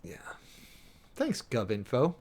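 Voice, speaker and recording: A male streamer with an average-pitched voice reads formal text aloud and annotates it as he goes. His commentary is wry and sardonic, and his delivery moves between brisk reading and halting, emphatic remarks. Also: wildly swooping pitch, darkish tone. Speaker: streamer and preacher giving commentary.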